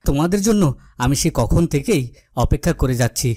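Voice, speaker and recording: An actor playing a worried, protective cartoon king who speaks in a formal, measured, authoritative way. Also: on the high side, lively intonation, mellow, no echo, studio quality. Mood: stately. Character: worried, protective fairytale king, stately and questioning. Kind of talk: dubbed cartoon fairytale acting.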